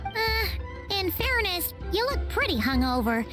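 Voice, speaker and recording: A woman voicing a tiny, childlike, chatty and opinionated fairy companion in a video game, with a squeaky way of talking. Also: very animated, unhurried, music in the background, full character voice. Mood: curious. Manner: refers to self in third person, squeaky.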